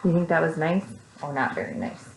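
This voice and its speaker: A woman with an American accent reading a story to young children, animated, with simple casual asides. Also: deep voice, a little fast, creaky, slight background noise. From Texas, United States. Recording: echoey room.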